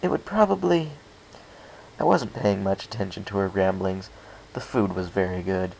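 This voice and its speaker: A man's voice: deep voice, normal speed, gravelly, a little mellow, a little hiss or hum, poor audio. A man reading a first-person story aloud as scripted narration. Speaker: amateur fan fiction reader.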